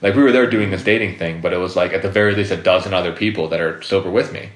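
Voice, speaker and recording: A low-voiced man speaking as a therapist guest, circling his points with fillers and restarts. He sounds roomy, as if recorded in an echoey space. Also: very fast. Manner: rambling, many fillers and restarts. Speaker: guest psychotherapist. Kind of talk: casual interview talk.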